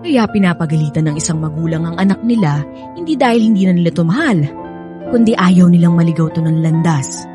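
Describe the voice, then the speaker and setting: A woman with a Filipino accent acting a caring, gently scolding nanny in a radio drama, motherly and pleading. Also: on the low side, animated, fairly quick, natural voice. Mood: soothing, earnest. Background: background music.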